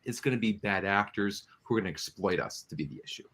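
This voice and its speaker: A man's voice: on the low side, quick pace, fairly bright tone. A man speaking as a studio producer and guest in a panel interview, explaining things earnestly and with emphasis.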